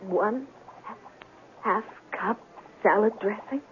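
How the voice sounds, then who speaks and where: An actress in a 1970s radio drama playing a devoted, encouraging lab assistant, earnest and precise. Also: female voice, medium pitch, takes it slowly, phone-quality sound, close to an everyday voice. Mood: dismayed. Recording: thin vintage radio with faint noise.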